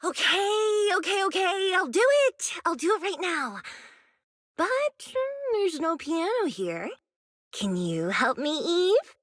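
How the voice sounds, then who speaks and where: A woman voicing a playful, impulsive young female pop star in a video game, expressive and teasing with girlish reactions. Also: extremely high pitch, takes it slowly, no echo, clean studio sound, heavily acted. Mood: pleading, cheerful. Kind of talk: animated video game voice acting.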